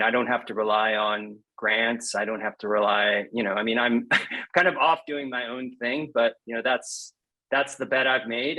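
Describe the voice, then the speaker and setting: A man's voice: medium pitch, bright, forceful tone, low-quality recording. A man speaking frankly and informally as a guest in a casual interview, with a wry way of putting things. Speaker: guest poet.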